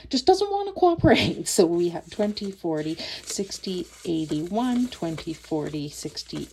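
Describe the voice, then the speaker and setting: A woman's voice: on the low side, darkish tone, a little hiss or hum. A woman in her thirties, stuffed up from a cold, chatting casually as she counts cash for a budgeting vlog, often stopping to correct herself. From Canada. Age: 30s. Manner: friendly, self-correcting, chatty. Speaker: budgeting vlogger. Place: home, at night.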